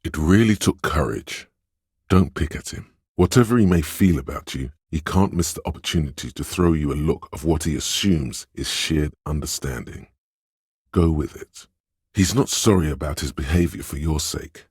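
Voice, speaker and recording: A man voicing a suave, insinuating inner voice of persuasion in a video game, narrating in a measured, knowing, gently coaxing way. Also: dry recording, studio quality. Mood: sympathetic, measured. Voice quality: very deep, husky. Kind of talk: scripted video game narration in second person.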